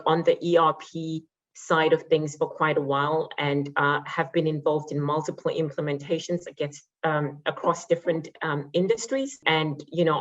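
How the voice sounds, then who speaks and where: A woman from Zimbabwe giving a conference presentation as a solution architect, conversational with frequent fillers. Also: low-pitched. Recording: muffled lo-fi audio.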